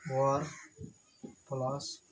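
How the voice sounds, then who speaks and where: A man's voice: medium pitch, monotone, very slow, a little hiss or hum, low-quality recording. A man from India with an Indian accent and Hindi as his first language, teaching an English grammar lesson at a whiteboard and repeating his points plainly. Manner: repetitive, plain explanations.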